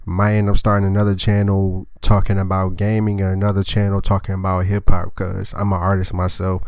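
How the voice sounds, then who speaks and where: A young man with a stuffy, nasal voice from a cold, speaking in a lowish, smooth tone. He rants bluntly and swears often, rambling with plenty of filler words. It sounds close and dry, with no room around it. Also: a little mellow, no room sound. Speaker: anime review channel host. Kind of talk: casual vulgar rant to camera.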